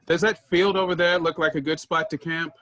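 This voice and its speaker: A man's voice: a little high, steady tone, brisk, a bit bright, close to an everyday voice. A man playing an easygoing, helpful member of a travelling theater troupe in a staged play reading. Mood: reassuring.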